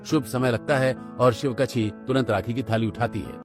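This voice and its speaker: A male narrator reads a scripted cartoon story. His voice is mid-pitched, with music playing behind it. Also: moderate pace.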